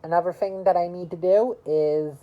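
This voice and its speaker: A woman in her early twenties chatting casually to camera about her year, rambling and halting, with lots of "you know" fillers. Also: fairly low pitch, highs cut off. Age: early 20s. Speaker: vlogger.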